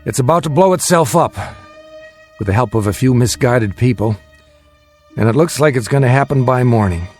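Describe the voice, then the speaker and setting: An actor voices a steady family father guarding a secret in a radio drama. His mid-pitched voice is measured but emphatic, moving up and down for weight, with music beneath. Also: lightly acted. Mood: grave, intent.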